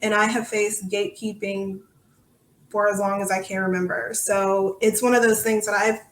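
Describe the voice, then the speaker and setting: A woman's voice: medium pitch, little pitch movement, normal speed. A woman chatting as a podcast guest in an informal, self-deprecating way, with echoey room sound. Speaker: podcast guest, soccer supporter. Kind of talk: casual interview chat.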